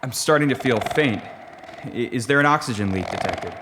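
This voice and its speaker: A man playing an anxious, weary spaceship captain in an audio drama, halting and earnest in a naturalistic way. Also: medium pitch, expressive, background noise, close to an everyday voice. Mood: faint, worried.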